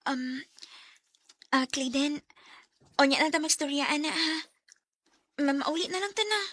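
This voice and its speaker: A woman's voice: high voice, measured pace, noisy background. A woman with a Cebuano Filipino accent playing a hesitant, obedient teenage schoolgirl in a radio drama, often murmuring before she answers. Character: hesitant, obedient teenage schoolgirl, worried about her parents.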